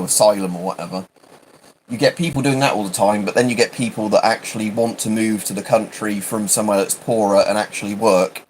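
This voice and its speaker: British guy rambling on a livestream, lowish voice, keeps cutting himself off, background noise. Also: poor audio. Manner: rambling, self-interrupting, hesitant. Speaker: livestream host. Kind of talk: rambling casual livestream chat.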